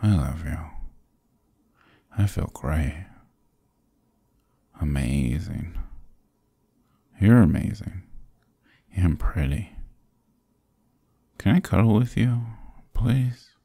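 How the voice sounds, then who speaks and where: A man voicing a stubborn but affectionate sick young boyfriend in an intimate ASMR roleplay, soft, husky and drowsy, murmuring close to the mic. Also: deep voice, animated, very slow, long pauses, no room sound, heavily acted. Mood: loopy, tender. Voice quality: husky, gravelly, breathy. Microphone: close, dry microphone.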